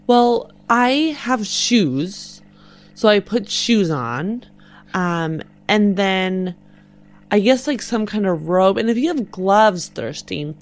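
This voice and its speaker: A woman's voice: mid-range voice, lively intonation, takes it slowly. A woman playing a tabletop game, casually improvising in role and hesitantly thinking aloud. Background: music bed.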